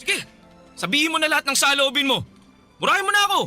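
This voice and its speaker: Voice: sits high, sing-song, fast talker, sharp tone, full character voice. A performer playing an exasperated, career-minded young man, a fed-up ex-boyfriend, in a Filipino radio drama with impatient delivery. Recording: faint music behind the voice.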